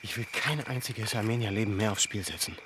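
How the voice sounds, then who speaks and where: An actor playing a resolute defense commander who gives orders in a commanding radio-play voice. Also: male voice, lowish voice, a little fast, fairly bright tone, a little hiss or hum, lightly acted. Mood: firm. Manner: commanding, rallying. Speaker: military leader.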